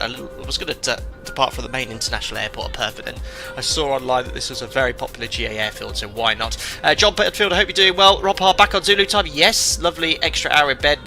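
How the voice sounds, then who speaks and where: A man streaming live as a flight simulator host, chatting and reading out chat messages, congested from a cold with a croaky voice and many filler ums and uhs. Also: mid-range voice, pushed and bright. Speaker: streamer and airline pilot.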